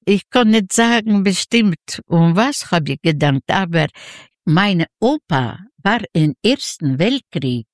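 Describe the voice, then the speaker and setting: Elderly woman with Yiddish-Ukrainian accent, slow-paced. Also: on the low side, lively intonation, no room sound, studio quality. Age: 90s. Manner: halting, simple broken sentences, acts out quoted dialogue. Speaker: interview guest, Holocaust survivor giving testimony. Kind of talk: personal storytelling in an interview.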